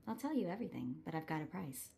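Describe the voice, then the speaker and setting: An actress voicing an eager, determined young streetwise sidekick in a cinematic video game, earnest and spirited. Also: female voice, on the low side, sing-song, fast talker, vocal fry, dark tone, a little hiss or hum. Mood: cocky, urgent.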